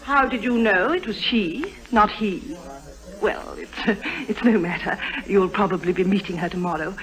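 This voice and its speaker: An actress playing a genteel, confiding titled lady in an old radio drama, speaking politely and haltingly. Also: medium pitch, natural voice, poor audio. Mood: hesitant, confiding. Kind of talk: 1950s radio drama acting.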